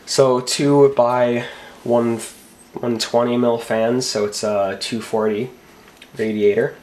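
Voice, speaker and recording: A man's voice: mid-range voice, flat delivery, slow pace, a little mellow, a little hiss or hum. A young man with a cold, rambling casually through a home tech show-and-tell, full of fillers and self-corrections. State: recovering from a cold. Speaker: tech vlogger. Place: bedroom desk, indoors.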